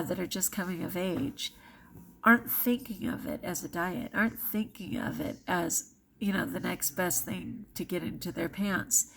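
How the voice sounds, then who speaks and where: A middle-aged woman vlogging in a candid, confessional way, speaking emphatically with dramatic pauses as she reflects personally to the camera. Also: mid-range voice, vocal fry, slight background noise. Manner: confessional and emphatic, with dramatic pauses and self-quoted inner voices.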